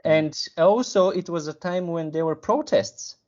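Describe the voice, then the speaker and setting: A man, a sales manager explaining a client case in a measured, careful way with pauses to find words. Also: fairly high pitch, darkish tone, dull audio. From Bulgaria. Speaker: sales and business development manager. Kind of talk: business interview.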